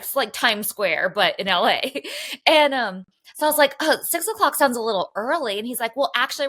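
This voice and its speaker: A woman's voice: fairly high pitch, fairly bright tone. A woman co-hosting a podcast, telling a rambling, chatty story full of "like" fillers. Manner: chatty, rambling, heavy use of "like". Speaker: podcast co-host. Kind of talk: casual chat, storytelling. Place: Los Angeles area home.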